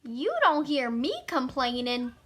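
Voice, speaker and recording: Young woman playing a feisty, high-voiced old American lady. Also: unhurried, fairly bright tone. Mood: feisty, proud. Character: spry, feisty old small-town woman of eighty-one. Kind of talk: amateur stage-play acting.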